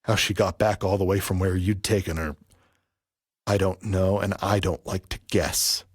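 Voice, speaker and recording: An actor playing a vengeful, grieving middle-aged father in an audio play, speaking with deliberate, theatrical menace. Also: sits low, flat delivery, husky, no room sound, clean studio sound. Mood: bitter grief. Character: vengeful grieving father, a barber settling an old debt.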